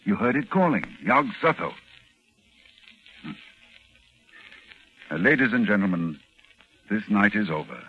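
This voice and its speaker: An actor playing a grave, scholarly doctor who explains horrors solemnly and haltingly in 1940s radio acting. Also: male voice, on the low side, very animated, highs cut off. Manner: hesitant, repeating phrases, solemn. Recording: band-limited 1945 radio recording.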